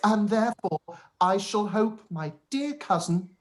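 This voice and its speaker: A man's voice: high voice, lively intonation, unhurried. An actor playing a pompous, self-satisfied young clergyman, long-winded and formal, in a period radio play. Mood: smug, oblivious. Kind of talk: period drama live-read radio play.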